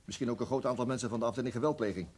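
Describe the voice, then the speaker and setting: A man's voice: medium pitch, very fast, darkish tone, slightly rough, lightly acted. A man playing an insistent, argumentative police detective in a radio play, pressing his points with emphasis. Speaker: actor in a radio police drama. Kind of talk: Dutch radio play acting.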